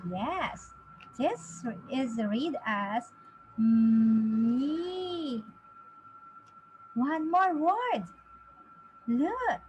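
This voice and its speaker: A woman in her thirties teaching a children's phonics lesson, encouraging, with exaggerated, deliberate enunciation for young learners. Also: high-pitched, lively intonation, a little mellow, a little hiss or hum, low-quality recording. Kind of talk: children's phonics lesson, sounding out letters and words.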